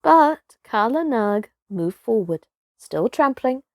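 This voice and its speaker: A woman narrating a children's storybook expressively, with dramatic, deliberate phrasing and strong vocal shading. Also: a little high, sing-song, dry recording, clean studio sound. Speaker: storybook reader.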